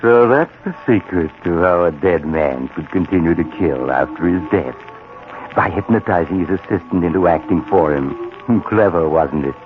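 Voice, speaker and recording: An actor playing a sly, macabre storyteller, narrating and hosting an old-time radio horror show in a theatrical, confiding way. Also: male voice, low voice, lightly acted. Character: macabre, sly male storyteller living beside a cemetery. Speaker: host and narrator. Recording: tinny old radio recording. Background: music.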